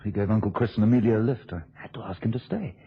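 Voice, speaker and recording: A nervy, scheming husband in a radio play, husky and rapid. He stammers politely with a swooping, animated delivery, heard on a dull old radio recording. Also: lowish voice. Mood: tense, conspiratorial. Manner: stammering, hurried, over-polite. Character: nervy, scheming husband playing the flustered host. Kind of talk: radio drama acting.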